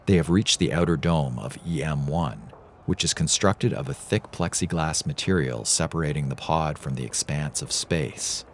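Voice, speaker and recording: A man's voice: a bass voice, moderate pace, slight background noise. A man reading scripted dramatic narration as the narrator of a story.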